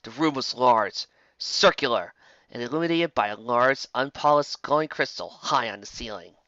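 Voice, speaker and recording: A man's voice: medium pitch, moderate pace, sharp tone, no room sound, highs cut off. A man reading a scripted fantasy story aloud as a dramatic narrator.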